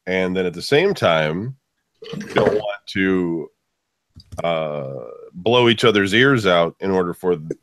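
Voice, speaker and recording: A man with a low, bassy voice talks casually as a show co-host, sorting out audio as he goes. His pitch moves around a lot as he hesitates and thinks aloud, and the sound is close and dry. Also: expressive, takes it slowly, a bit bright, no room sound. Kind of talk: casual unscripted banter during a sound check.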